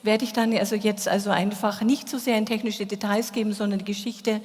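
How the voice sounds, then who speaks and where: A woman's voice: mid-range voice, flat delivery, brisk, darkish tone. A woman working as an interpreter, rendering a speech into German at a congress. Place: large hall. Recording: long hall reverb.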